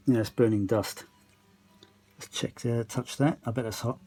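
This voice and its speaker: A man with a British accent talks at a middle pitch in a casual, unscripted way. He presents like a hobbyist at the workbench, rambling along and muttering asides to himself as he goes. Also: fairly even intonation, normal speed, warm tone, faint music behind. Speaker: hobbyist repairer presenting a video. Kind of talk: casual unscripted running commentary while working. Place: home kitchen workshop. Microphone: handheld phone.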